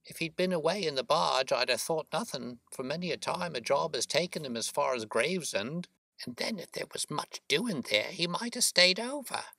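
A woman's voice: low-pitched, animated, moderate pace, creaky, sharp tone, no echo, pitched above the performer's own voice, heavily acted. A man voicing a worried, talkative working-class London boatman's wife, chatty and deferential, in an audiobook story. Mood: anxious.

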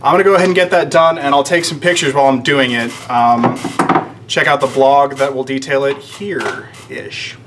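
A man's voice: mid-range voice, steady tone, normal speed. An American man in his thirties explaining casually to camera, with rambling, wry, self-deprecating asides. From United States, Georgia. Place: indoors in a shop workroom under renovation. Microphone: camera microphone at about arm's length. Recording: faint background noise.

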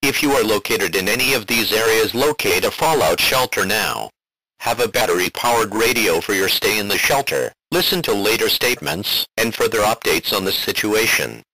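A man's voice: lowish voice, expressive, normal speed, bright, forceful tone, breathy edge, no echo, rough recording. A man reading a scripted emergency alert as a broadcast announcer, formal and impersonal.

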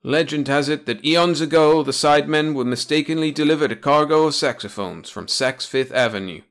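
A folksy old alien sage, played by a man in a comic storybook reading. He explains things in a homespun drawl, pitched a little above plain narration. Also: a little fast, a bit bright. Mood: hushed explaining.

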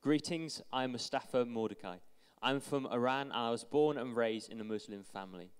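A young man with a smooth, resonant voice reads a testimony aloud in a steady, earnest way, now and then tripping over a word. He holds a handheld mic, and his words ring out through a big church hall with a long echo behind them. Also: mid-range voice, normal speed. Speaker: church member reading a friend's testimony. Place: large church hall with stage.

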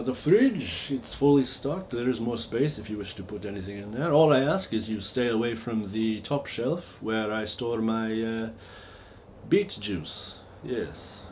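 A man playing a genteel vampire host with a mock Eastern European accent in a comic monologue, halting and overly polite, with awkward deadpan asides. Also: medium pitch, slight background noise.

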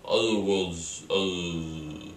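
A man answering interview questions in character in a halting, deadpan, cryptic manner. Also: sits low. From Southern California, as claimed in character. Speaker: performer being interviewed. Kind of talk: improvised in-character performance interview. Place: bare unfinished room indoors. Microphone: distant camera microphone. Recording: echoey, distant camera with background noise.

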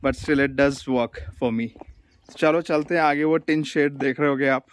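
A man's voice: on the high side, fairly even intonation, slow. A man with an Indian accent chatting casually and enthusiastically as a travel vlogger outdoors, switching between two languages in an informal way. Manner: mixes Hindi and English, informal and enthusiastic. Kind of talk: casual travel vlog chat to camera. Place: outdoors at a hillside viewpoint. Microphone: phone camera.

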